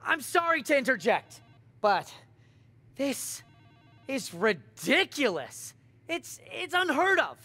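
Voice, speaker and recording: A man's voice: very high voice, sing-song, slow pace, pauses often, bright, forceful tone, soft background music, heavily acted. An actor playing a fussy, nervous male corporate executive who stammers through his objections in a video game cutscene. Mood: flustered protest.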